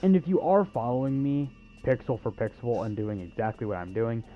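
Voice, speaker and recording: A man's voice: mid-range voice, a little fast, muffled recording. A young man giving casual, sarcastic video game walkthrough commentary with crude jokes. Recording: game music under the voice. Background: game music and sounds.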